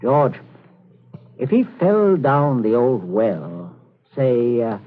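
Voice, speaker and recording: A man's voice: medium pitch, sing-song, extremely slow, heavily acted. An actor playing a stern, pompous middle-aged father who snaps orders in a 1940s radio thriller. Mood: calculating, impatient. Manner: pompous, scolding, clipped orders. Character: stern middle-class father, pompous, snappish, obsessed with respectability. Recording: tinny old 1940s radio broadcast.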